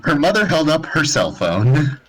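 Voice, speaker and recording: A man's voice: a little high, sing-song, a little slow, crowd noise, poor audio. A man narrating fan fiction as a live dramatized comedic reading.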